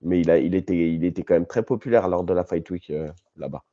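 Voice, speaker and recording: A French man co-hosting a podcast argues his case with insistence, nasal from a cold. His voice sits warm and mellow. The recording sounds dull and lo-fi. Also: sits low, fast, no room sound. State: has a cold, coughing. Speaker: podcast co-host. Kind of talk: casual podcast debate.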